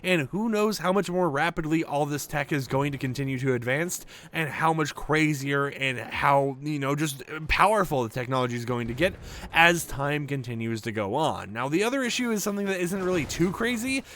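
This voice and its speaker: A man's voice: a little high, animated, moderate pace, fairly bright tone. A man giving casual gaming commentary in a conversational, rambling way, often checking in with little tag questions. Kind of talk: casual video commentary over gameplay.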